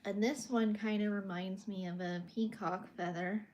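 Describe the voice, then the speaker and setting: A young woman from California, an artist casually chatting on a livestream from her bedroom as she shows her paintings and answers viewer comments. She is soft-spoken and a little awkward. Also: medium pitch, steady tone, moderate pace, lo-fi sound, slight background noise.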